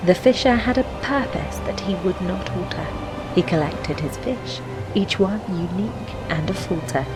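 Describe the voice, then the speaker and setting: A woman with a fairly low voice narrates a fantasy game tale in rhyming verse. Her delivery is measured and poetic, like recited storytelling. Music plays clearly behind her. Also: low-quality recording. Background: background music.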